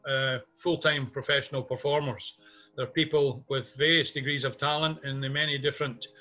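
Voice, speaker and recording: Older scottish guy in his sixties or up on a webcam call, thoughtful, sharp bright voice, music under it. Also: on the high side. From Scotland. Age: 60s or older. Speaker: interviewee, community theatre music director. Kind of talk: reminiscing in a video interview. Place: small home room indoors. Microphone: webcam video call. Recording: muffled video call audio, music underneath.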